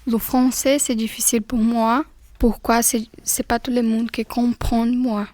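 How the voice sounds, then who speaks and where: A woman's voice: a little high, flat delivery, measured pace, a little mellow, no echo. A young woman in her late teens recites a testimony as a student, repeating short, simple sentences.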